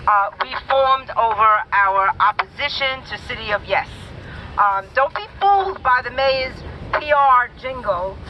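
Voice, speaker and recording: A woman's voice: fairly high pitch, animated, measured pace, lo-fi sound, slight background noise. A woman speaking at an outdoor protest rally, reading a prepared statement for her community coalition in a firm, declarative way. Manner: firm, declarative, builds lists to a rallying call.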